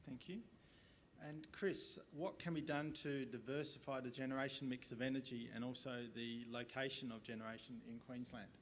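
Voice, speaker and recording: A man's voice: mid-range voice, fairly even intonation, normal speed. A man moderating a panel forum, speaking formally and projecting his short questions across a large conference room. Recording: echoey hall, distant mic, loud noise.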